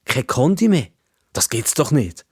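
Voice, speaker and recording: A man voicing a curious, easily amazed old-fashioned gentleman from the past in a children's story, with a formal, wondering way of talking. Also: mid-range voice, big pitch swings, a little slow, husky, dry recording, studio quality, exaggerated voice.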